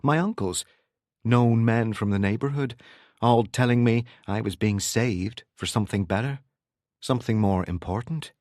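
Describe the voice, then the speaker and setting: A man's voice: on the low side, moderate pace, darkish tone, no echo, clean studio sound, close to an everyday voice. A man voicing a guarded, secretive ex-militant with a Northern Irish accent in a narrated novel. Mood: guarded, confessional. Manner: evasive, feigned casualness.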